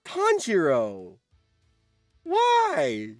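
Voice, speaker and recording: A male streamer with a fairly high-pitched, very lively voice gives excitable live commentary. He reads results out at an unhurried pace and reacts in an animated way, prone to surprised exclamations, over background music. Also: no echo, studio quality. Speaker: streamer host.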